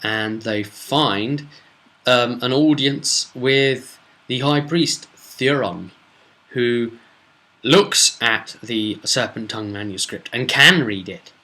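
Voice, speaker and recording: Man, game master, casual tabletop recap, chatty rambling storytelling, sharp bright voice. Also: mid-range voice, takes it slowly, low-quality recording. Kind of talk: casual recap of a tabletop role-playing session.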